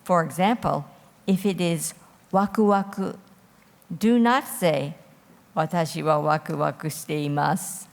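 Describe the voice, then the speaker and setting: A woman with a lowish, slightly creaky voice hosts a formal quiz competition. She speaks in an orderly, instructional way, and her voice rings through a large reverberant hall. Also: unhurried. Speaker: quiz host reading rules and judging calls. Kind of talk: formal event moderation. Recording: echoey hall with long reverb.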